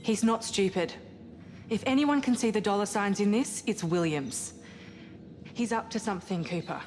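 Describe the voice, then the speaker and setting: A woman's voice: mid-range voice, normal speed, darkish tone, natural voice. Actress as intense woman scientist in reverberant hall. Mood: suspicious, urgent. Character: intense, suspicious woman scientist aboard a spaceship. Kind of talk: cinematic video game acting. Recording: long reverberant hall, music behind.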